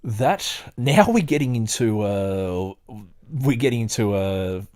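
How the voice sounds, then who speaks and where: A man hosting a podcast, moving between halting, digressive casual talk and reading aloud. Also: lowish voice, animated, a little mellow. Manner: hesitant, halting, digressive when talking. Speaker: podcast host.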